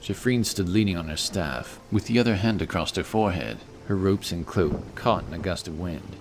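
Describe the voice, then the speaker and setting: A man's voice: deep voice, moderate pace, background noise. A man reading scripted fantasy narration as a storyteller, with music behind his voice.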